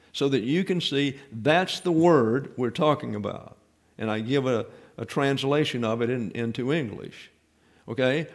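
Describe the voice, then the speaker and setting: Emphatic man teaching from a pulpit. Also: mid-range voice. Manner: explanatory, emphatic on key points, frequent fillers and restarts. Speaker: pastor-teacher. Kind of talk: Bible teaching sermon.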